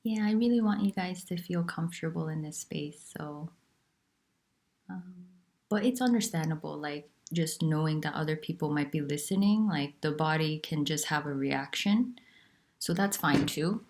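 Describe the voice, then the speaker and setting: A woman teaching about nonduality, talking in a soft, unhurried and candid way with pauses. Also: low voice. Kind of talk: reflective spiritual talk.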